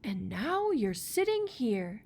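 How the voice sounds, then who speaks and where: A woman voicing a curious, gently boastful tall pine tree, expressive and questioning, in a picture book read-aloud. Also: on the high side, slow pace, gravelly, full character voice. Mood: doubtful.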